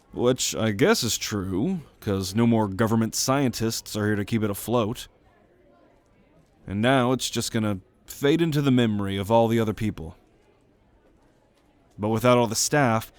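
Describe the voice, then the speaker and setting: Man acting a gruff, sardonic young student, close and dry. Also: medium pitch, darkish tone, no echo, lightly acted. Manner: exasperated, sarcastic, swearing drawl. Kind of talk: ASMR roleplay audio drama.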